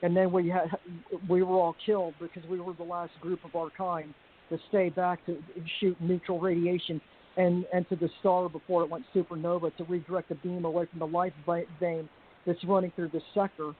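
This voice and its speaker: A woman with a deep voice giving a personal testimony on a group call, talking in a halting, earnest way with many restarts and fillers. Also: level tone. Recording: tinny call audio with faint hiss.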